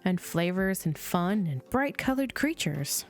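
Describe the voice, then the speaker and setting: A woman voicing a magical, cheerful male teddy bear who gently reassures in storybook rhyme. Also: on the low side, sing-song, measured pace. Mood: gently explaining. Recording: music playing behind the voice.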